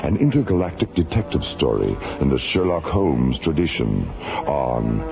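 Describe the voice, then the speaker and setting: A man delivering dramatic scripted radio narration as announcer and storyteller, grand and deliberate. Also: very deep voice, sing-song, gravelly. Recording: lo-fi radio recording, loud music bed.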